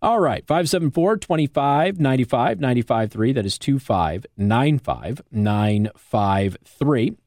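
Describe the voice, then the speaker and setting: An American man hosting talk radio, speaking in a conversational, emphatic monologue with rhetorical questions and pauses for effect. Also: mid-range voice, lively intonation, darkish tone, no room sound, clean studio sound. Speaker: radio talk show host. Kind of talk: conservative talk radio monologue with host-read ads. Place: radio studio. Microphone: close broadcast microphone.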